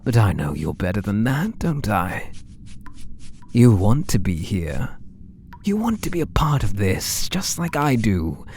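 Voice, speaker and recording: An obsessive British male artist played by a man murmurs to his beloved in an ASMR roleplay. His soft, breathy voice is unhurried and very expressive in pitch, sliding into whispers with possessive tenderness. There is noticeable background noise. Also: full character voice. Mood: possessive, menacing. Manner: tender, slow, menacingly intimate.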